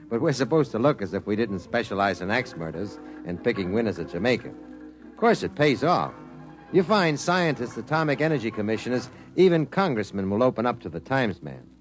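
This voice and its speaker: An actor playing a wry, seasoned newspaper reporter who narrates in a dry, conversational 1950s radio drama manner. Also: male voice, medium pitch, lightly acted, low-quality recording. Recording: vintage 1950s radio broadcast.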